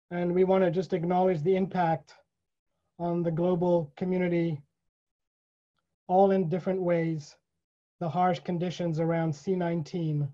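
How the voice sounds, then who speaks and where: Older man speaking deliberately, mellow, dull audio. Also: high voice. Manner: measured, pausing, repeats phrases deliberately. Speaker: event host. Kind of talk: welcoming remarks with quotations at an online event. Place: small indoor room. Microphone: computer mic on a video call. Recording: dull, lo-fi video call audio.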